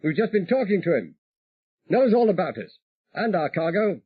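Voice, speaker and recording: A man voicing a brisk, cheerful male pilot heard over a faint radio, clipped and breezy. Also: high-pitched, very animated, slightly rough, no room sound, narrow, tinny audio, voice pushed up, heavily acted.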